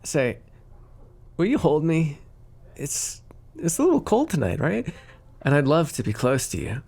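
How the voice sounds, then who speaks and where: A man's voice: on the high side, huge pitch swings, a little slow, vocal fry, a little mellow, husky, exaggerated voice. A man playing a cocky, teasing mafia boss with a theatrical, flirty drawl in an ASMR roleplay. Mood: sweet, lovey-dovey.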